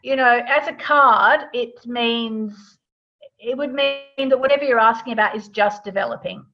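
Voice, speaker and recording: A woman's voice: fairly high pitch, moderate pace. A woman speaking as a guest author, casually explaining her subject in a conversational way, checking in with the listener as she goes. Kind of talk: casual explanatory interview talk. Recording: dull lo-fi sound.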